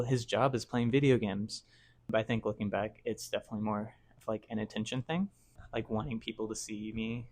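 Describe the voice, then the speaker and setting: A man in his twenties speaks in a lowish voice that stays fairly flat in pitch. He muses casually and at length, with plenty of fillers and restarts, turning his thoughts over as he goes. He is recorded at home in a relaxed vlog setting. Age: 20s. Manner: rambling, filler-heavy, self-reflective. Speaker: YouTuber.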